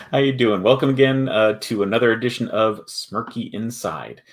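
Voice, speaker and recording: A man hosting a casual livestream, chatting with viewers in a halting way as he thinks aloud. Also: medium pitch. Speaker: host of a board game company livestream.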